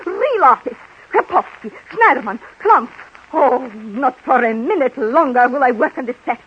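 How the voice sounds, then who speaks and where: High-voiced, temperamental European movie diva. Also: female voice, lively intonation, breathy edge, heavily acted. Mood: indignant, haughty. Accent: continental European. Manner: theatrical, imperious, dramatic pauses and repetition. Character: temperamental, melodramatic European movie actress, self-pitying and wheedling. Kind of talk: 1930s radio comedy acting. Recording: muffled, noisy 1930s radio recording.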